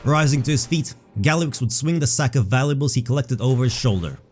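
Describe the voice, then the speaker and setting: Gentle music sits behind a man narrating a fantasy tale. His voice is mid-pitched, and he reads the script in a measured, dramatic storyteller's manner. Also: expressive.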